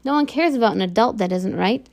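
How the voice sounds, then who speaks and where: A woman's voice: fairly high pitch, animated, moderate pace, a little mellow. A woman voicing a distraught, fiercely protective mother who pleads and sputters through tears. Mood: tearful, desperate.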